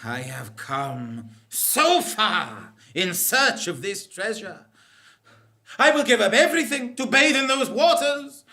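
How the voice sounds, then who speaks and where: Melodramatic anguished explorer, stage comedy. Slow, bright, declamatory delivery with stammers. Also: female voice, medium pitch, big pitch swings, heavily acted. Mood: grandiose, desperate. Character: Strideforth, anguished melodramatic explorer hungry for immortality. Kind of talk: live comic stage radio drama.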